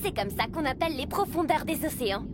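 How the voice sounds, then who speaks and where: A woman's voice: high voice, animated, fast talker, very noisy. A woman voicing a friendly, knowledgeable undersea explorer in an educational children's cartoon, explaining things in an encouraging way. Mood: reassuring. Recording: music behind the voice.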